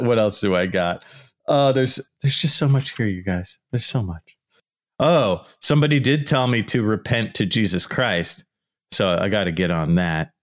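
A man with a naturally loud, shouty voice, ranting casually and animatedly in a vlog about archaeology comments. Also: on the low side. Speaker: archaeology YouTuber.

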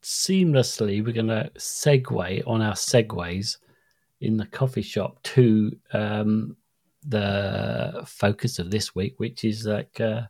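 A man's voice: on the low side, slow, a little mellow, no room sound. A man co-hosting a podcast, chatting casually with playful, teasing banter. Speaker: podcast co-host. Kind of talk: casual chat.

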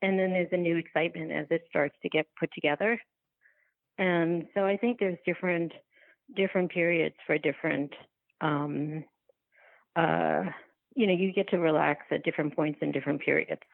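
A woman being interviewed as a filmmaker, talking reflectively and haltingly as she thinks aloud and rephrases. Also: sits low, fairly even intonation, gravelly, no echo. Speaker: guest, documentary filmmaker. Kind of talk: reflective podcast interview conversation. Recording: thin, phone-like line.

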